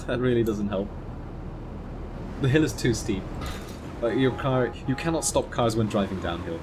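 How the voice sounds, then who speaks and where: A man's voice: medium pitch, expressive, unhurried, pauses often, noisy background. A man from the Netherlands giving casual live commentary while streaming a driving game, chatting with viewers and laughing at his own crashes.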